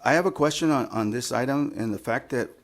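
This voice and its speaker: A man chairing a school board meeting, reading agenda items aloud in a halting way. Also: medium pitch.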